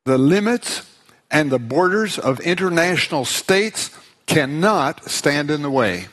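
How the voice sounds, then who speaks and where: A man preaching a sermon in short phrases, pausing for his interpreter. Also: fairly high pitch, expressive, fairly bright tone. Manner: slow, deliberate, pausing for interpreter.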